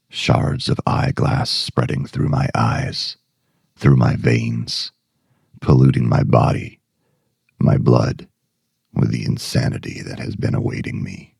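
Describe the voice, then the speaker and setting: An actor playing a brooding, obsessed male writer in a dark dramatic monologue, speaking deliberately and ominously in a husky, gravelly voice. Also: a bass voice, little pitch movement, dry recording, clean studio sound, exaggerated voice.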